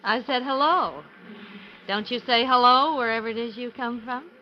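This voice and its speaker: An actress playing a flirtatious, teasing and insistent femme fatale in a 1950s radio thriller. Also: female voice, on the high side, a little hiss or hum, close to an everyday voice. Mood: coaxing, teasing. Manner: teasing, insistent, drawn-out coaxing. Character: coaxing, flirtatious femme fatale, butcher's woman. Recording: muffled 1950s radio recording, loud music.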